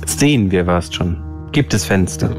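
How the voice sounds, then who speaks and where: A man's voice: deep voice, expressive, normal speed. A man talking casually as a player in an online tabletop role-playing game. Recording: music playing behind the voice. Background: background music.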